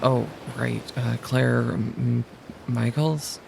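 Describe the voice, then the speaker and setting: A man's voice: mid-range voice, fairly even intonation, slow pace, gravelly, darkish tone, a little hiss or hum. A man playing a nervous civilian woman in an audio drama, stammering and hesitating timidly. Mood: bewildered, hesitant.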